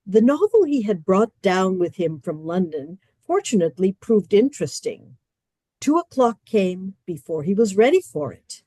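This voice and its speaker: A woman narrating a radio play with measured storytelling and dry comic emphasis. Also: medium pitch, sing-song, warm tone, dry recording.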